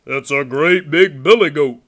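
Man as a loud, defiant big billy goat. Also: on the high side, a little slow. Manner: loud, challenging.

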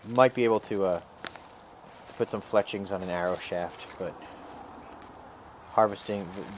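A man calmly instructing a student step by step during an outdoor survival skills demonstration, recorded on a camera mic. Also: low voice, slight background noise. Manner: calm, instructive, talks through each step. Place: outdoors in a wooded clearing.

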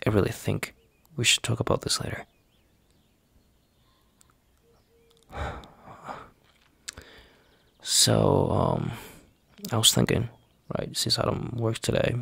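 A low, very gravelly young boyfriend, acted by a man in an ASMR roleplay. He talks slowly and haltingly, sounding tender, anxious and unsure. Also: no echo, full character voice. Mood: pleading. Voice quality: husky, gravelly. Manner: halting, hesitant, soft-spoken, sighing.

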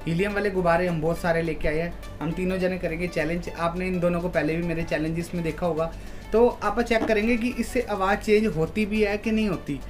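A man's voice: high-pitched, fairly even intonation, fairly quick, soft background music. A young man from India hosting a casual challenge vlog, chatting to viewers in a small room at home. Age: young adult. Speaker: vlog host.

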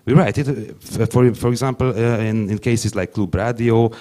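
A young man whose first language is Hungarian, speaking English haltingly on a conference panel into a handheld mic, with many restarts. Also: mid-range voice, fairly even intonation, dark tone. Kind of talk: panel discussion.

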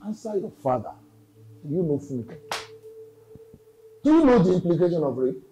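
A man's voice: on the high side, big pitch swings, normal speed, warm tone, lo-fi sound, faint music behind. A man with a Nigerian accent playing a stern, accusing male police officer.

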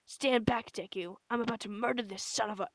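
A woman voicing a brash, foul-mouthed, angry teenage boy in a comic dub, barking his words gruffly. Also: fairly high pitch, steady tone, fairly quick, fairly bright tone, no echo. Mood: irritated.

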